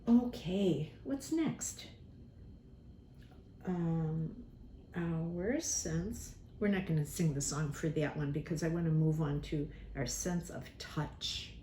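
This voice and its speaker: A woman giving a simple lesson to young children, speaking deliberately, repeating key words and acting out examples. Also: deep voice. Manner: animated, acts out examples with props. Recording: echoey room with faint background noise.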